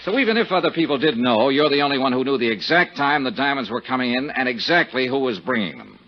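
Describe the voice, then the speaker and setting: An actor playing a tough, wisecracking investigator in 1950s radio detective drama, blunt and sardonic, with a husky voice. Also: male voice, mid-range voice, expressive, quick pace, natural voice. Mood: pressing, sardonic. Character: tough, brash, wisecracking American male investigator. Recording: dull 1950s radio broadcast recording.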